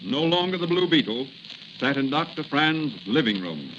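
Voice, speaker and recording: A man's voice: mid-range voice, very animated, normal speed, a bit bright. A man narrating as a formal, dramatic 1940s radio announcer. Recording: hissy, dull 1940 radio recording.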